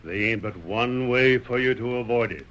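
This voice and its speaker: An actor playing a stern, manipulative sheriff in an old radio western, speaking in a blunt, insistent, folksy way. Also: male voice, mid-range voice, normal speed, dull audio, heavy background noise, lightly acted. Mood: persuasive, secretive.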